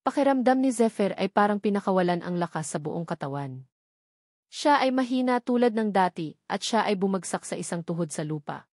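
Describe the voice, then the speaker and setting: Woman, audiobook narrator, measured formal reading, medium pitch, dry recording. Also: animated, darkish tone, no echo. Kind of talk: scripted audiobook narration of an action novel.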